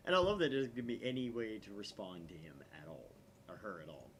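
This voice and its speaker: A man's voice: on the low side, big pitch swings, fast talker, a little hiss or hum. A middle-aged man casually chatting and commenting as host of a gaming video. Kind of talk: casual chat, commentary during a game.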